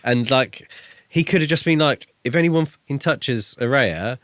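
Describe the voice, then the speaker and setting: A man speaks at a middling pitch in a very dry, close recording. He sounds like a podcast co-host in loose, informal discussion, blunt in how he puts things. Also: moderate pace, fairly bright tone, no echo. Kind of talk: casual book discussion chat.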